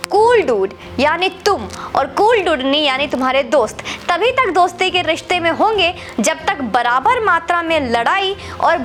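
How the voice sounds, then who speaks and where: Female Indian political commentator, very high lively voice, challenging the viewer in a lecturing monologue with English mixed in. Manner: combative, lecturing, rhetorical questions; mixes English words and phrases into the talk. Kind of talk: opinionated monologue addressed to the viewer.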